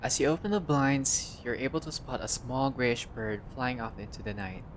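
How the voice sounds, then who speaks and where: A man's voice: mid-range voice, moderate pace, a little hiss or hum. A man reading a visual novel aloud as a playthrough narrator, in a storyteller-like way with brief casual asides.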